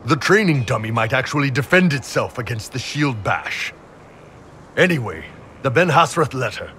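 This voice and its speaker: A man voicing a big, gruff horned mercenary captain in a video game, blunt and casual in his talk. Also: mid-range voice, big pitch swings, normal speed, bright, forceful tone, a little hiss or hum. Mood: blunt, assured. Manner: blunt, casual, plain-spoken. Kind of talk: video game character acting.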